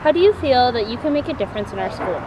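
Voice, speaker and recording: A young woman interviewer reading brisk, scripted questions. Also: fairly high pitch, animated, noisy background. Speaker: student interviewer.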